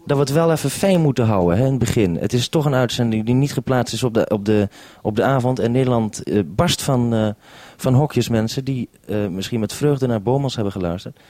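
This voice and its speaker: A radio man speaks in a soft-edged, mellow voice, recorded very dry. He handles a two-way link in a hesitant, considerate way, ending his turns with formal handovers. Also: mid-range voice, a little fast, hardly any pauses, no echo. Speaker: radio programme maker.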